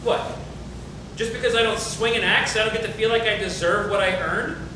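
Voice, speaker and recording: Echoey noisy room, actor as self-justifying male dealmaker, strikingly high voice, sardonic, casual, profane, stage drama. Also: fairly even intonation, normal speed, natural voice. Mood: defensive, grudging. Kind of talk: dramatic table reading of a stage play.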